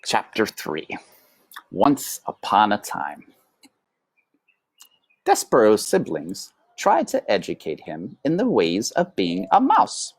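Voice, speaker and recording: Woman doing a kids book read-aloud, slow and deliberate, low husky voice. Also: wildly swooping pitch, dry recording. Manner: dramatic, deliberate phrasing. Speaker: storyteller.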